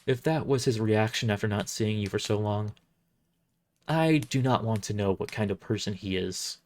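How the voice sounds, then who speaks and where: Audio drama role: kind big-sister healer woman, soft-mannered and caring, played by a man. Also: on the low side, moderate pace, natural voice. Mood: gentle concern. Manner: gentle, caring. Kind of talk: amateur audio drama character reading.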